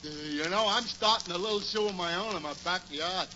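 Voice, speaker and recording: An actor playing a dim-witted but amiable tavern regular, with a doltish, hesitant drawl in old radio comedy. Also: male voice, sits high, a little fast, natural voice. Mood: dopey. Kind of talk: 1940s radio comedy acting. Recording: dull, hissy 1940s radio recording.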